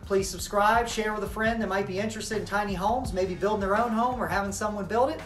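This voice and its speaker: A man's voice: high-pitched, fairly quick. A man in his thirties, a tiny house builder, casually explaining his work to the camera from inside an unfinished house. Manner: relaxed, informal, frequent um and you know. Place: framed interior of an unfinished tiny house, indoors. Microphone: camera mic at a few meters. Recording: roomy echo, faint music.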